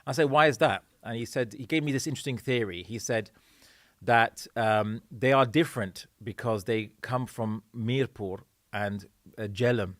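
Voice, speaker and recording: A man's voice: medium pitch, moderate pace, dry recording, clean studio sound. A man hosting a conversational podcast interview, speaking hesitantly with many fillers and restarts. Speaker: podcast host.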